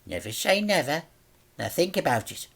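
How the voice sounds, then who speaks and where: A man's voice: on the high side, big pitch swings, normal speed, creaky, a bit bright, slightly rough, voice pushed up, full character voice. A man voicing a nasty little crime boss in a children's storybook, self-important and taunting with a drawl. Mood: menacing.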